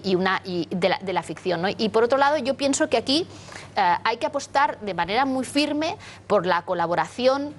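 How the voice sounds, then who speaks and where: A woman's voice: mid-range voice, a little hiss or hum. Earnest woman from Catalonia on a panel. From Catalonia, Spain. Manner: earnest, many restarts and tag questions. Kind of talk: studio panel discussion.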